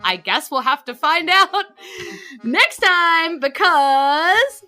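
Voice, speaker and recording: A woman with a New Zealand accent, hosting and narrating a tabletop game podcast in a playful, expressive, enthusiastic way. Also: extremely high pitch, slow, soft background music. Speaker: game master and podcast host.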